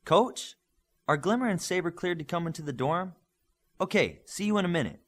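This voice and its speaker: Eager boy hero-in-training for an audiobook read by a man; earnest, excitable, animated intonation. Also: high-pitched, normal speed, voice pushed up. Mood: excited.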